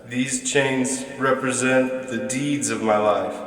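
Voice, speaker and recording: A man acts out a sorrowful male ghost in chains for a radio drama. He speaks very slowly and solemnly, sounding distant in a long, echoing reverb. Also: mid-range voice, fairly even intonation, a bit bright, soft background music. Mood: mournful. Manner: slow, solemn, drawn-out delivery. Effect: heavy ghostly reverb. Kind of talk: stage-like radio drama acting.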